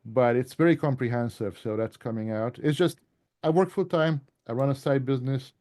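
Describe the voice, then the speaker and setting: A man with a mellow, relaxed voice at a middling pitch gives an informal talk to a club. He comes across as an author chatting through his material, conversational and rambling, drifting into asides. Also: normal speed. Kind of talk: informal presentation to a radio club.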